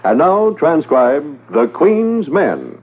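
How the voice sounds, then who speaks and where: A man's voice: a little high, big pitch swings, slow pace, heavy background noise. A man announcing a radio series in an emphatic, stately, declamatory 1950s style. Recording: narrow-band 1950s radio transcription over music.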